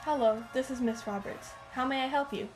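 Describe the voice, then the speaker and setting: Woman acting a measured, higher-voiced schoolteacher. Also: faint music behind, close to an everyday voice, low-quality recording. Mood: polite, probing. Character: schoolteacher, woman, patient but frustrated, firm. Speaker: actor and narrator in a radio play. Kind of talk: first-person radio drama narration and dialogue.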